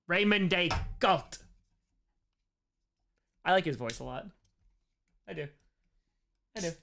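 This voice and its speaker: An American man hosting a casual livestream Q&A, chatting in an animated way and repeating short phrases for emphasis. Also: fairly high pitch, clear, resonant tone. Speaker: livestream host answering chat questions.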